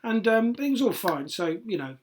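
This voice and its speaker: Deadpan man co-hosting in casual chat. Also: sits high, normal speed. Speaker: co-host.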